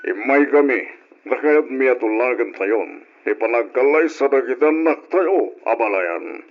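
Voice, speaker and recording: An actor playing a middle-aged father, a formal and insistent head of the family, speaking deliberately in an old radio drama on a lo-fi recording. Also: mid-range voice, expressive, faint music behind, lightly acted. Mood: firm, formal.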